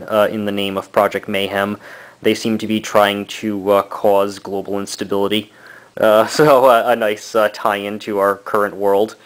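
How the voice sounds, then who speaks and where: A man in his thirties giving a casual, rambling review, halting often with fillers and short laughs. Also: fairly low pitch. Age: 30s. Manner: laughs between thoughts. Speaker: book and comic reviewer.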